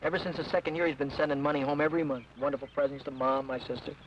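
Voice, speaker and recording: An eager young Irish-American man, played by a man, chats cheerfully in a fairly high voice. The old radio recording is muffled, with faint hiss. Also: level tone, very fast, lightly acted. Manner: eager, chatty. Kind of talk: 1940s radio acting.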